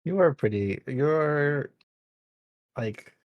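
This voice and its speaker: A man's voice: on the high side, lively intonation, very slow, no room sound, muffled recording. A man in his mid-twenties, a guest in a casual, joking chat with friends. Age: mid 20s. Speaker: podcast guest.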